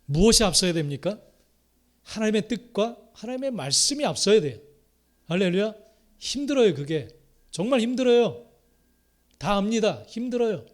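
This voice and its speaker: Middle-aged male Korean pastor, slightly high voice, sermon delivery with repeated phrases and sharp loud-to-soft shifts. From Korea, Chungcheong province. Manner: swings from loud rising exhortation to soft muttered asides; repeats key phrases, calls out hallelujah.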